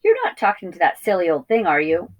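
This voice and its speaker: A motherly female sheepdog from a storybook, voiced by a woman. She speaks briskly and sharply, bossing and scolding like a strict parent. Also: on the low side, wildly swooping pitch.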